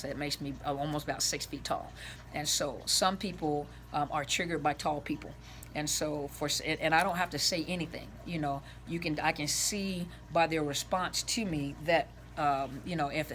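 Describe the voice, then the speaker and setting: A woman counselor with a raspy voice gives informal advice to viewers, rambling earnestly and restarting her phrases, recorded indoors on a camera set on the floor. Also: deep voice, fairly bright tone, a little hiss or hum. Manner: gestures with her hands as she talks.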